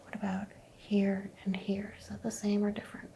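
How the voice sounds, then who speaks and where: A woman whispering gently as a doctor in an ASMR roleplay, guiding a patient through a skin exam. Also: medium pitch, monotone, moderate pace, vocal fry, slight background noise. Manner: soft whispering, gentle step-by-step instructions. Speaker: ASMR creator playing a doctor.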